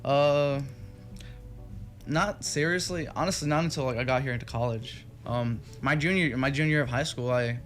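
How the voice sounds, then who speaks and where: A man's voice: mid-range voice, moderate pace, a bit bright. A young man from Texas rambles through a casual interview, circling back with fillers and restarts. Faint music plays under his talk in a radio studio. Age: young adult. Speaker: guest musician.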